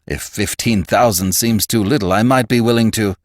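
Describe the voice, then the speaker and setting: A hearty older magazine boss from a storybook, acted out by a man, pitched well up and swooping widely. He is genial and fussing, leaning on his words as he cajoles and persuades. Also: huge pitch swings, moderate pace, no room sound, clean studio sound. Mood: eager, cajoling.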